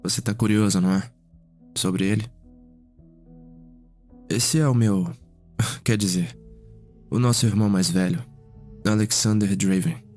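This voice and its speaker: A gentle, refined vampire nobleman played by a man with a low voice. He talks in a measured, formal way in an ASMR roleplay, over faint music. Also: steady tone, lightly acted. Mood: reflective, wistful. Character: genial, refined elder vampire brother, wistful nobleman. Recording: music behind the voice.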